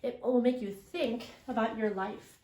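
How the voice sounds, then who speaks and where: Female elementary teacher, higher-pitched voice, unhurried, talking children through a story lesson with frequent questions. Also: steady tone, dark tone. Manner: interactive, asks questions and affirms imagined answers. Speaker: elementary English language development teacher. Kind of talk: children's read-aloud lesson. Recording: roomy, echoing sound.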